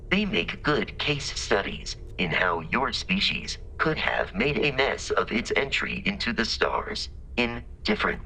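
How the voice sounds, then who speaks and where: An actor playing a polite, literal-minded exploration robot in a video game, speaking in formal, precise, measured deadpan. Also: male voice, sits low, gravelly, slight background noise, heavily acted, poor audio. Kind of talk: scripted video game character dialogue.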